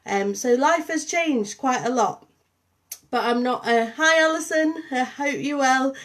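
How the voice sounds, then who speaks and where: A young woman with a Liverpool and St Helens accent, softened by years in Yorkshire, talks through a casual livestream. Her voice sits a little high, and she chats to viewers as she goes, with plenty of ums and uhs. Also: a little slow. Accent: Liverpool and St Helens with a touch of Yorkshire. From Liverpool, England. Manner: chatty, warm greetings to viewers, many ums. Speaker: artist and programme coordinator hosting a livestream.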